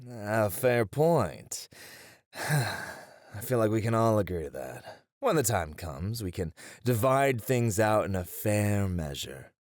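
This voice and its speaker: A man's voice: on the low side, sing-song, slow pace, dry recording, clean studio sound. A man playing a cocky, shrewd gang leader in a flirty ASMR roleplay, teasing in a playful, theatrical way. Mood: guarded, diplomatic.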